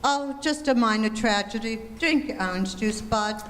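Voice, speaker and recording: A woman playing a patient, placating 1940s housewife and mother who is gently firm, in a radio play. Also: a little high, moderate pace, close to an everyday voice. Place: live stage performance in a hall. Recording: reverberant hall stage recording.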